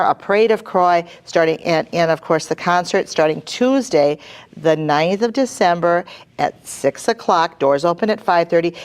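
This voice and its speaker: A woman hosting a casual interview, announcing events with enthusiasm and asking her guest questions. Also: fairly low pitch. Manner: enthusiastic, promotional. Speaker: museum president and host.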